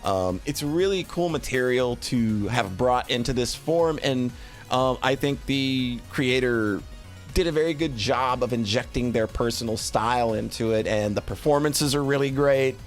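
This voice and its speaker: A man giving casual, unscripted commentary as a streamer, halting often and repeating or correcting words as they go. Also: medium pitch, soft background music. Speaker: streamer reviewing visual novels.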